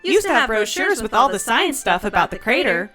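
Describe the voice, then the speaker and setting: Bright, animated, bossy diner waitress. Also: female voice, fairly high pitch, faint music behind. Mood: chatty, wry. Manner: folksy, brisk, calls people hun. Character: sassy, bossy alien diner waitress, no-nonsense. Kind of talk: audio drama comedy dialogue.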